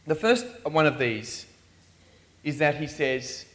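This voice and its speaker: Man, preacher, sermon, earnest, pleading questions, slightly high-pitched voice. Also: very animated, takes it slowly, a bit bright. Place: church in Australia.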